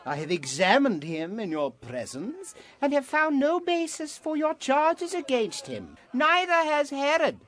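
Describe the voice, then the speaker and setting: A man reading Scripture aloud and voicing a stern, weary Roman governor in a slow, deliberate way. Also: very high voice, fairly bright tone, pitched above the performer's own voice, full character voice. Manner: slow, deliberate delivery in a raised voice.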